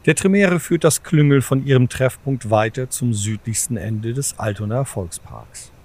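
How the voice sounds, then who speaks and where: A man's voice: mid-range voice, animated, normal speed, a little mellow. A man narrating a scripted audio drama as its storyteller.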